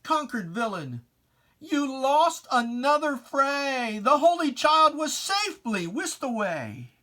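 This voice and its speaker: A person giving a solemn, theatrical recitation of religious verse, declaimed with weighty pauses and a stern, taunting address. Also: high-pitched, sing-song, pushed and bright. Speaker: reader of poetry. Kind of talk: dramatic recitation of religious rhyming poem.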